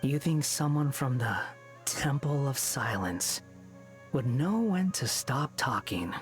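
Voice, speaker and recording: Game role: reluctant male scholar recluse, aloof, dry, unhurried delivery. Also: medium pitch, lively intonation, faint music behind. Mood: irritated, reluctant. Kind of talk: video game voice acting.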